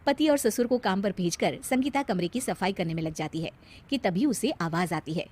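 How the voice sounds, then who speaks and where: A woman with an Indian accent narrating a cartoon tale as expressive scripted storytelling. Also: medium pitch, normal speed, very noisy.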